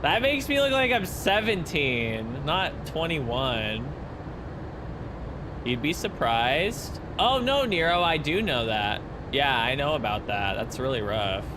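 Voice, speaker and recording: A man's voice: mid-range voice, very animated, takes it slowly, background noise. A man in his early twenties chatting casually with his stream viewers while flying, with rambling asides. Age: 20s. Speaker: flight sim streamer.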